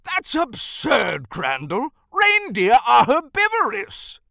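A narrator acts out an old Santa Claus from a storybook, gruff and indignant. The delivery is forceful and emphatic, full of disbelief. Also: medium pitch, big pitch swings, takes it slowly, no echo, studio quality, full character voice.

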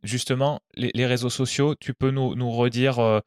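A man's voice: medium pitch, little pitch movement, normal speed, darkish tone, clean tone, no echo, clean studio sound. A man hosting an interview, asking questions while fumbling for the right words. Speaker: interviewer, host.

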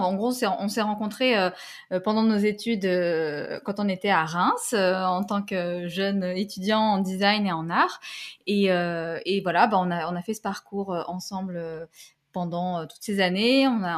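A woman in her thirties from Algeria and Paris, chatting as an interview guest in a hesitant, meandering way. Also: medium pitch. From Algeria and Paris, France. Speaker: podcast guest, designer and creator. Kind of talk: casual interview chat.

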